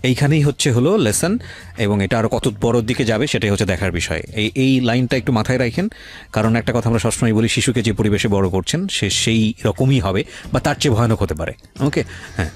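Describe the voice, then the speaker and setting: A man's voice: mid-range voice, fairly quick. A man with a Bangladeshi accent hosting a radio interview and commenting, mixing English phrases into his speech. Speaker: radio host. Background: loud background music.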